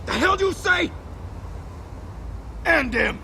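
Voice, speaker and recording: An actor playing a crude, threatening street mugger in a video game, talking in aggressive slang. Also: male voice, very high voice, animated, takes it slowly, creaky, sharp tone, slight background noise, heavily acted.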